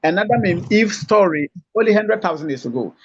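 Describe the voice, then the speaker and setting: A man from Africa, calling in, who argues in a halting, lecturing way full of fillers and restarts. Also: high-pitched, lively intonation, a little hiss or hum. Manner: hesitant, many fillers and restarts. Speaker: caller. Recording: muffled lo-fi call audio.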